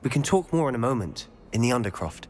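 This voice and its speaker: A man's voice: mid-range voice, very animated, fast talker, darkish tone. A young man playing a confident, secretive student in a game cutscene, eager and conspiratorial. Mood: confiding.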